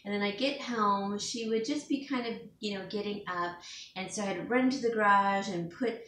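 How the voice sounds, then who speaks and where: A chatty woman vlogs at home in a relaxed, rambling way, sniffling a little from allergies. She sits some distance from the mic, so her voice comes across echoey and roomy. A faint hiss runs under everything. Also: mid-range voice, moderate pace. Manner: rambling, chatty, with fillers and small laughs. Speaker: home stager and DIY vlogger. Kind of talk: casual chat to camera.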